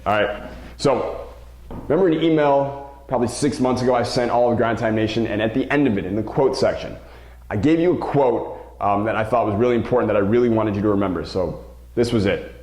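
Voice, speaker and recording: A man's voice: lowish voice, brisk, darkish tone. A man speaking as a basketball coach and show host, giving an emphatic motivational talk to viewers and stressing key words by repeating them. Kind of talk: motivational vlog talk to camera. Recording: roomy, echoing sound.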